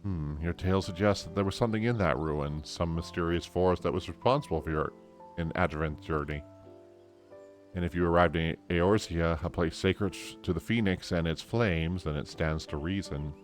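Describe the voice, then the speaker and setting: A man voicing a learned fantasy game scholar who guides a traveler in a formal, measured way. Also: deep voice, soft background music, close to an everyday voice. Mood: pondering.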